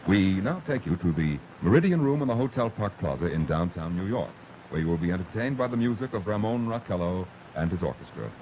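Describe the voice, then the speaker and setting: Formal bulletin announcer, rapid, urgent, old lo-fi radio sound. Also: male voice, low-pitched, lively intonation. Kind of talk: 1930s radio news bulletin. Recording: noisy lo-fi old radio broadcast.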